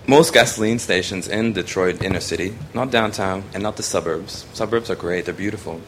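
Young guy from hong kong, really low voice, lots of ums, faint room hum behind. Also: a little fast, fairly bright tone. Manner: rambling, many ums and restarts. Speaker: student photographer presenting his work. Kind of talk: casual spoken presentation.

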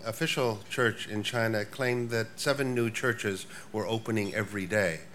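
Older male guest speaker, low voice, measured lecture with dry wit, faint room hiss. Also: fairly bright tone. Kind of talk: prepared lecture.

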